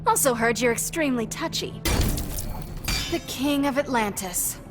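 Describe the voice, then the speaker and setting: Young game superheroine: high voice, sassy and challenging, loud music behind. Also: female voice, animated, moderate pace. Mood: sarcastic, defiant. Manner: sarcastic, challenging. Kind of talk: video game voice acting.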